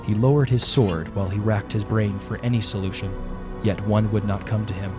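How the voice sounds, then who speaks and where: A man narrating a scripted fantasy audio drama in a measured storytelling manner. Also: lowish voice, fairly even intonation. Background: background music.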